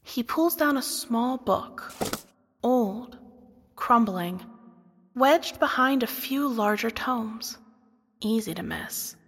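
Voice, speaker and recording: A woman's voice: fairly high pitch, slow pace, long pauses, fairly bright tone. A woman narrating a scripted fantasy story in a dramatic storytelling style. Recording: long reverberant hall effect.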